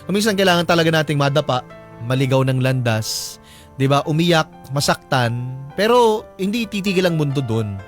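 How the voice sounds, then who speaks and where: Male radio counsellor, expressive melody, casual and probing, code-switching, light music underneath. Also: on the high side, normal speed. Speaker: radio advice show host. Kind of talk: casual counselling chat in Tagalog-English mix.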